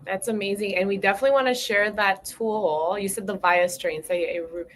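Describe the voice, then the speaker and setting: A woman's voice: mid-range voice, a little fast, a little hiss or hum. A woman hosting an online interview, talking conversationally with frequent restarts and asides like "right?". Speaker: webinar host.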